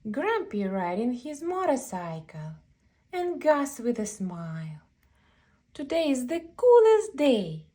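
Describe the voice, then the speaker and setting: Animated high-pitched woman reading a children's book. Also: takes it slowly. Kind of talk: animated read-aloud picture book narration.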